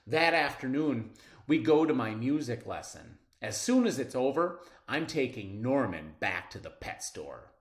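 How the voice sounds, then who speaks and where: Man, children's read-aloud, lively varied pitch, drawn-out words, dramatic pauses, roomy echo. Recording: roomy, echoing sound.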